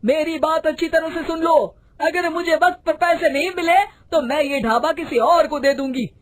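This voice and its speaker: A woman's voice: sits high, steady tone, moderate pace, voice pushed up, lightly acted. A woman voicing a stern landlady in a storybook tale, insistent and threatening in manner. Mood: stern, demanding. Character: stern, demanding female landlady of a dhaba. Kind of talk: animated Hindi storybook voice acting.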